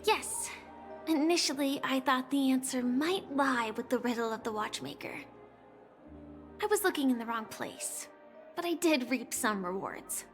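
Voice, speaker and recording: High, gentle, earnest young heroine. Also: female voice, expressive, unhurried, faint music behind. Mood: wistful, earnest. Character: gentle, earnest young woman, sweet and wistful. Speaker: game character voice actor. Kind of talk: anime-style video game voice acting.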